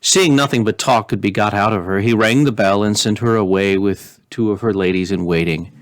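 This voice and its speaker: A man reading a story aloud in an expressive, measured way, as a pastor hosting storytime. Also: on the low side, no echo, clean studio sound. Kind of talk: storybook reading with informal recap.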